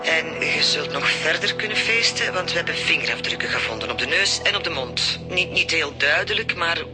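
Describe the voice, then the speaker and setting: An actress with a Belgian Dutch accent playing a breezy forensic expert in a radio drama, explaining findings in a familiar, wry way. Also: female voice, low voice, lively intonation, a little fast, music in the background. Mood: wry, brisk.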